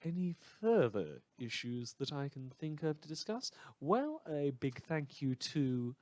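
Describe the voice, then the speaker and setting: Man, podcast host, credits delivery, slightly high pitch, dry close sound. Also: very animated, a little slow, darkish tone, no echo. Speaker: fan podcast creator and host.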